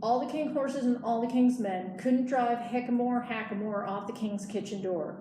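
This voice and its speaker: A woman's voice: on the high side, normal speed, lightly acted. A woman voicing a cheeky young male squirrel who chants teasing riddles in a sing-song way for a storybook. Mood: impertinent. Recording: echoey room, noisy background.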